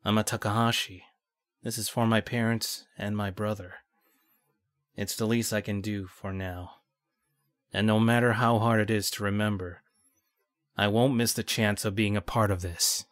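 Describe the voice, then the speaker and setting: Man as a low-voiced, brooding young hero. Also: fairly even intonation, normal speed, a bit bright, no room sound, studio quality, lightly acted. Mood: brooding, resolute. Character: brooding, determined young male ninja heir. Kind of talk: amateur anime audio drama acting.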